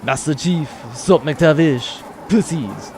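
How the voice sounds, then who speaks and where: A man's voice: fairly high pitch, animated, takes it slowly, darkish tone, slight background noise. A man playing a passionate, pretentious retro arcade fanatic, emphatic and scornful, in a comedy audio drama. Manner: emphatic, scornful, sprinkles French words.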